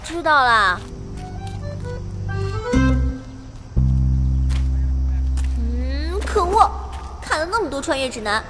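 High-pitched excitable schoolgirl. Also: normal speed, faint music behind, exaggerated voice. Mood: frustrated, curious. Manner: exclamatory, self-talking, playful. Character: excitable teenage schoolgirl transported into a fantasy game world. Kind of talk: Chinese audio drama acting.

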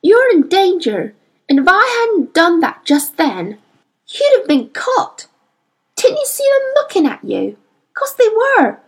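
A woman voicing a fierce, defiant young girl who talks bluntly and roughly in an audiobook. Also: very high-pitched, huge pitch swings, a little slow, voice pushed up, full character voice. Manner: blunt, rough informal speech. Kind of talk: audiobook character voice.

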